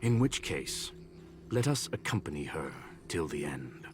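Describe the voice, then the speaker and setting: A man voicing a learned mechanical gentleman in a video game, formal, calm and eloquent. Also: very deep voice, unhurried, full character voice. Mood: calm, musing. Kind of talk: scripted video game dialogue. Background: game music.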